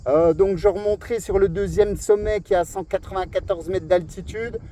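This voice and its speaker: A man's voice: high voice, steady tone, normal speed, mellow. A man in a casual radio chat, speaking as an amateur operator from outdoors. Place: outdoors on a seaside cliff.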